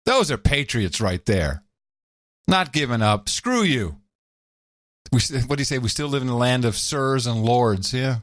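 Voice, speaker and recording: Excitable male podcast host, dry mic. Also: medium pitch, dry recording. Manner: excitable, laughs often, quick banter. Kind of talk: casual chat.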